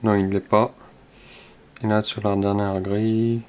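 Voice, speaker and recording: Slow, low-voiced Frenchman scratching tickets. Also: little pitch movement. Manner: slow, checks numbers one by one, mutters softly. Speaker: scratch-card video host. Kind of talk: casual play-by-play while scratching tickets.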